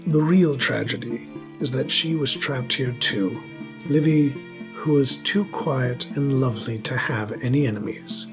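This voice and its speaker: Male narrator, gravelly creaky voice, mid pitch, scripted fantasy storytelling, clear music underneath. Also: unhurried, rough recording.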